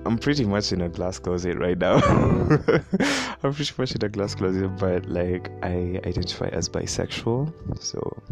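A young Kenyan man with a deep voice and a Kenyan accent, chatting casually on his own podcast, rambling with many fillers and frequent laughs. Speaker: podcast host. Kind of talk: casual solo podcast chat.

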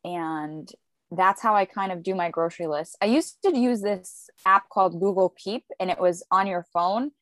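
A woman co-hosting a podcast, explaining her habits in a relaxed, rambling way. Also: on the low side, normal speed, dry recording. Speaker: podcast co-host. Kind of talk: casual chat.

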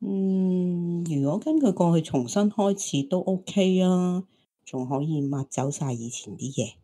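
An actress voices a gossipy, hopeful romantic in her thirties in a radio play. Her voice is low for a woman, with teasing exclamations. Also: unhurried, dark tone. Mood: hopeful, defensive. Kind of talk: Cantonese audio drama acting.

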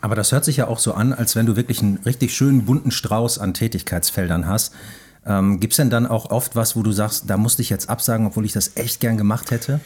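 A man's voice: lowish voice, brisk, darkish tone, slightly rough. A man hosting a podcast, asking questions in a casual interview style. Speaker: podcast host.

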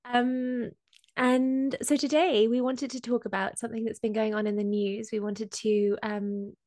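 A woman with a slight cold, explaining finance topics in a casual podcast chat with many pauses and ums. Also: on the high side, little pitch movement, a little mellow, no echo, studio quality. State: has a cold. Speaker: co-host explaining crypto.

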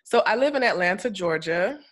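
A woman's voice: medium pitch, expressive, a little fast. A young woman from Florida, chatting as a guest about her cybersecurity career and laughing often between phrases. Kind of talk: casual interview talk.

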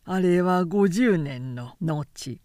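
Storybook centenarian peasant, played by a woman: male, deferential, humble, slow measured telling. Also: fairly low pitch, animated, mellow, dry recording, clean studio sound. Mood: humble reverence. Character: humble, respectful centenarian male villager telling old tales. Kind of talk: audiobook character reading.

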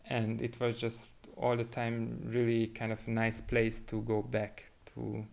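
A man from Hungary with a Hungarian accent, chatting reflectively as a podcast guest and thinking aloud in a halting way. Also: mid-range voice, completely flat delivery, vocal fry, a little mellow. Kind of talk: casual reflective chat.

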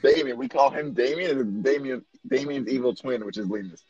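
A man's voice: medium pitch, a little fast, darkish tone, slightly rough, no echo, muffled recording. A man chatting casually with a friend as podcast co-host, joking, boastful and swearing freely. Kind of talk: casual chat between friends. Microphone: remote call mic.

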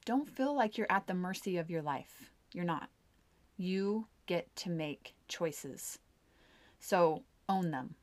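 A woman's voice: lowish voice, animated, slow. A woman co-hosting a podcast, a mom giving upbeat, encouraging advice in casual chat. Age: adult mom. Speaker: podcast co-host.

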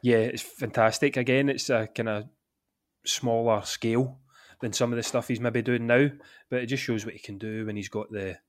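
A man's voice: mid-range voice, moderate pace. Glaswegian man chatting as podcast guest. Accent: Scottish, Glaswegian. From Scotland. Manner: relaxed, chatty, laughs often, says "aye". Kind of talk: casual chat.

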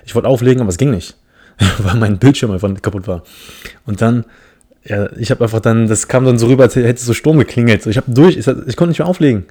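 A man's voice: medium pitch, fast talker, dark tone. A man from the Philippines hosting a casual solo podcast, telling a story in a rambling, self-mocking way with many restarts. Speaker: podcast host.

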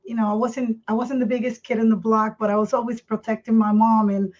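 A woman, her voice set a little high. She talks candidly as a podcast guest, circling back and restarting her phrases. The sound is muffled and dull. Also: level tone, darkish tone. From Puerto Rico. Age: 50s. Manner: candid, earnest, speaks in halting restarts. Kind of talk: personal life story in a podcast interview.

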